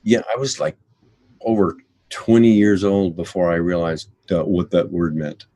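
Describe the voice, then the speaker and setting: Deep-voiced man telling a story. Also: fairly even intonation, warm tone, no echo. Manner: joking, drawn-out storytelling. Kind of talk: casual conversation.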